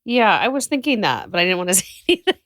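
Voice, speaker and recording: Woman podcaster chatting w her bestie, blunt n reactive, really lively pitch, dry studio-type sound. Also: rapid-fire, no echo. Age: adult, parent. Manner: candid, reactive, opinionated. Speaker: podcast co-host. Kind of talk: casual chat with a friend.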